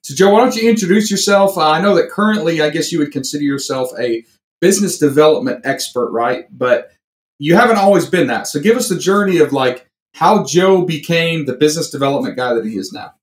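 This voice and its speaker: A man's voice: on the high side, expressive, brisk. A man hosting a podcast interview, friendly and joking as he introduces his guest from a makeshift studio. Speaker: podcast host. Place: backup studio. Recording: echoey room, mic at a distance.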